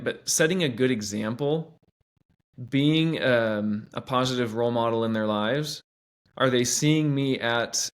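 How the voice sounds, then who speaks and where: A man's voice: medium pitch, fairly bright tone. A man in his thirties from Washington State, a teacher answering interview questions earnestly and with many restarts. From Washington State, USA. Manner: earnest, many restarts and "you know" asides. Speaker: teacher and guest.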